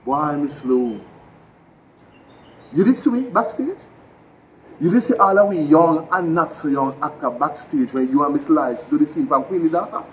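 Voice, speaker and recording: Jamaican guy talking straight to someone he lost, heartfelt, kinda high voice, actor giving a tribute. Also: animated, moderate pace, pauses often, slight background noise, rough recording. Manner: heartfelt, addresses the late honoree directly. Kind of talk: spoken tribute monologue.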